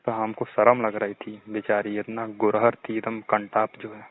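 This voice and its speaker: A man's voice: fairly low pitch, monotone, moderate pace, no room sound. A young man with a Bhojpuri accent chatting casually and telling stories in a teasing, boastful way. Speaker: student. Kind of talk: casual phone chat, telling anecdotes. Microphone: phone. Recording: narrow, tinny phone call recording.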